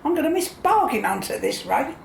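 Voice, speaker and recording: Woman acting a creaky, grumpy older Englishwoman. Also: high-pitched, sing-song, a little fast, exaggerated voice. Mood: indignant. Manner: scolding, muttering, indignant. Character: grumpy, bigoted older English housewife with an aching hip. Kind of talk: radio play acting. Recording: roomy echo, faint noise.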